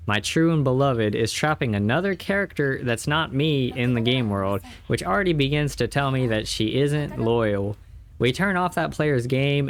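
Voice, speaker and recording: A man's voice: mid-range voice, slight background noise. A man narrating a casual scripted recap of a video game, with tongue-in-cheek exaggeration.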